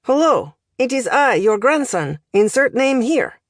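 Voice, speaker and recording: Lady doing a shy student kid, earnest n unsure, kinda halting, close dry audiobook. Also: on the high side, big pitch swings, no room sound, voice pushed up. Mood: reciting. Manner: halting, questioning, broken English. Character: hesitant, earnest young male Romanian language student.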